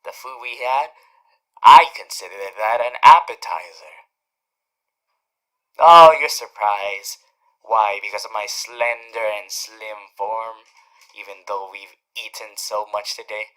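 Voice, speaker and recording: A performer voicing a doting, teasing male radio demon in an ASMR roleplay, speaking in a theatrical, courtly way with drawn-out emphasis. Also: mid-range voice, bright, forceful tone, rough recording. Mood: playful, doting. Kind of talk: ASMR character roleplay to the listener.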